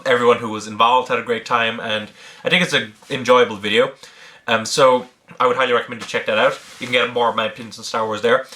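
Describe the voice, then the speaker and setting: A man's voice: medium pitch, pushed and bright. A man from Ireland with a blended Irish, British and American accent, casually answering questions in an unscripted, hesitant way, thinking aloud with many ums. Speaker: online video creator answering viewer questions.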